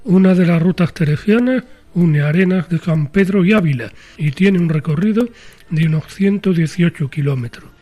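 A man reading a formal news voice-over in a clear way. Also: on the high side, normal speed, mellow, soft background music. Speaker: news narrator. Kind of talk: scripted voice-over narration.

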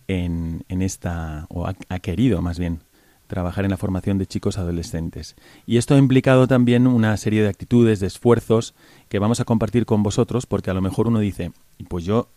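A man, a priest, hosting an interview in a calm, measured, explanatory way. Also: on the low side, dry recording. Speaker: priest and program host. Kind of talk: radio-style interview hosting.

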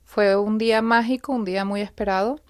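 An earnest woman tells her own story as a guest on a radio program. Her voice sits at an ordinary pitch and comes across dry and close, with no room around it. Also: steady tone, brisk, no echo. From Venezuela. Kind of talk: personal testimony in a radio interview. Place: radio studio.

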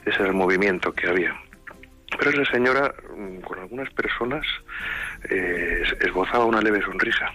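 A man giving a religious testimony on a radio show, speaking in a slow, reflective and hesitant way. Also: low-pitched, pushed and bright, soft background music, low-quality recording. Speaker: caller.

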